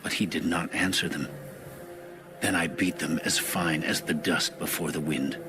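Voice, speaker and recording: A man reading scripture as a scripted narration, in a measured and solemn manner. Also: a bass voice, steady tone. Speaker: audio Bible narrator. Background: music.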